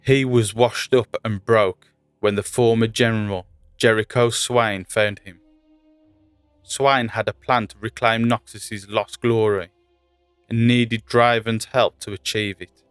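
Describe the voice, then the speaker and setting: Low, smooth male lore narrator. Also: fairly even intonation, unhurried, a bit bright, no echo. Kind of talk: scripted fantasy lore narration. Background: faint music.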